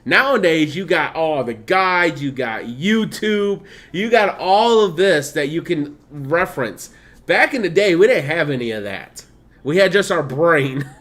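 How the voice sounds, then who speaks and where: Male streamer, 30s, blended Midwestern/Southern/Californian accent, slightly high pitch, chatty and laughing. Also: lively intonation, measured pace, fairly bright tone. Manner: chatty, laughs often, reads game text aloud. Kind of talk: casual gameplay stream commentary.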